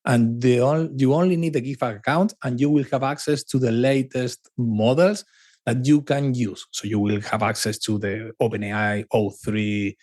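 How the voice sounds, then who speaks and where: A middle-aged man from Argentina with an Argentine Spanish accent, a native Spanish speaker, talking as a guest in a casual interview, animated and repeating words for emphasis. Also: medium pitch, normal speed.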